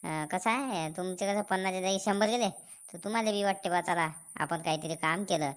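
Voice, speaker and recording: A woman's voice: fairly low pitch, brisk, natural voice. A woman voicing a nosy, sarcastic village man in a comic cartoon, needling in a rural Maharashtrian accent.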